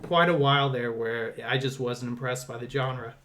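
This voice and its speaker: A man's voice: medium pitch, moderate pace, bright, forceful tone, slight background noise. A man co-hosting a podcast and leading a casual film discussion. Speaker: podcast co-host.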